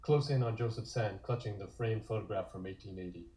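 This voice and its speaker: A man's voice: fairly low pitch, fairly quick, dark tone. A man narrating scripted scenes in the present tense for a comic table read.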